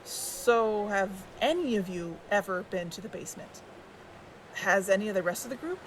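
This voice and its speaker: A an actress as a quiet, guarded woman with a mid-pitched voice. She speaks tentatively and probingly in a natural audio-drama style, over faint ambient noise. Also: lively intonation, moderate pace, lightly acted, low-quality recording. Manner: tentative, questioning. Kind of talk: naturalistic audio fiction acting.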